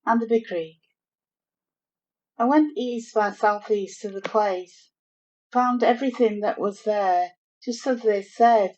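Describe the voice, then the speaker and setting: A woman recites poetry at a very unhurried pace. Her delivery is measured, her pitch sits mid-range, and the recording is slightly dull. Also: darkish tone. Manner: measured, deliberate. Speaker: poetry reader.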